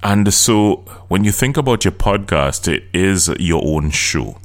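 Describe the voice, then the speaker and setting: Very low-voiced trinidadian guy hosting his own podcast, earnest coaching tone. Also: expressive, measured pace. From Trinidad and Tobago. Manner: earnest, coaching, direct to listener. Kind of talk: solo podcast talk.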